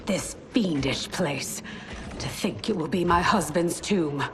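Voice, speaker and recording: An actress playing a grave, compassionate noble widow in a video game, speaking formally and dramatically. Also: female voice, fairly low pitch, takes it slowly, noisy background. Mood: grieving. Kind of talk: video game cutscene acting.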